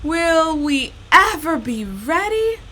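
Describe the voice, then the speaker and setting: A woman's voice: extremely high pitch, very animated, takes it slowly, bright, forceful tone, pitched above the performer's own voice, full character voice. A woman voicing a harried female bear teacher directing a children's play in a storybook, with theatrical, commanding calls. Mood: dismayed.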